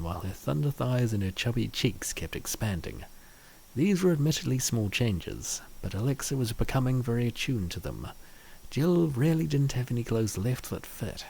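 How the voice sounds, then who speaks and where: Male narrator for a comic dub: lowish, gravelly voice, scripted storytelling, faint noise behind. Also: a little mellow, poor audio.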